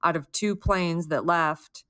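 A woman's voice: on the low side, slow pace, a bit bright, clear, resonant tone. A woman co-hosting a casual chat, sympathetic and chatty, asking her co-host questions. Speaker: podcast co-host.

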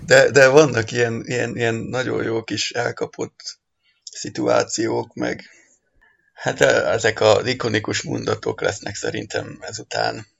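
Very creaky man on dull audio. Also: medium pitch, steady tone, a bit bright. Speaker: football podcast panelist. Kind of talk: casual chat.

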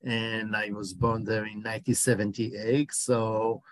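A man in his forties with an Israeli accent, a documentary director answering interview questions in a halting way, often pausing to search for words. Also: lowish voice, flat delivery, dry recording.

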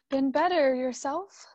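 A woman acting the part of Hannah's unsettled grown daughter and wife role in a radio drama, speaking haltingly. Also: high voice, dry recording, lo-fi sound. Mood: bewildered, hesitant. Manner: hesitant, halting.

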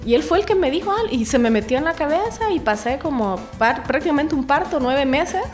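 A talkative Salvadoran woman in her forties, a singer-songwriter guest recounting things in a relaxed, laughing way. Her voice sits a little high for a woman, and music plays under the talk. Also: a little fast. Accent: Salvadoran Spanish. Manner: chatty, laughs often, restarts words. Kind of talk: casual interview chat.

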